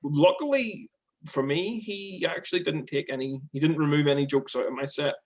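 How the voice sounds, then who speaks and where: A man's voice: a little high, moderate pace. A man from Northern Ireland, a stand-up comic, chatting casually over a video call, rambling with frequent laughs and repeated words.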